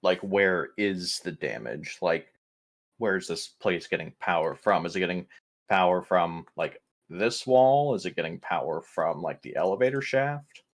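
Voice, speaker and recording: Deep, gravelly man musing at a game table. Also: animated, measured pace. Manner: hesitant, musing, thinking aloud. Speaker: player in a tabletop roleplaying game. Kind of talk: casual game-table questioning.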